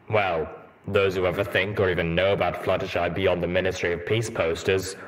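A man voicing a blunt, world-weary armored pony soldier in a measured, matter-of-fact way for a storybook reading. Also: low-pitched, lightly acted, poor audio.

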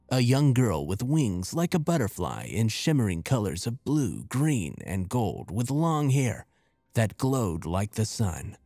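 A man reading a scripted horror story in a measured, deliberate and expressive way. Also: on the low side, dry recording, clean studio sound. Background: music.

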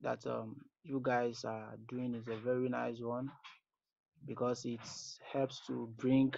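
A man's voice: medium pitch, flat delivery, slow, gravelly, darkish tone, no echo. A man with a Nigerian accent leaving an informal, hesitant spoken message, often repeating his phrases. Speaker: voicemail caller. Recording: dull lo-fi voice message.

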